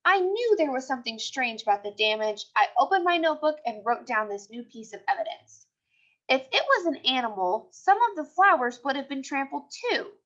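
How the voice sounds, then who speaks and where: A woman's voice: sits high, moderate pace. A woman reading a children's mystery story aloud expressively, acting out the dialogue. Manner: animated, acts out the characters' dialogue. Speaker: storybook reader. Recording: dull, lo-fi sound.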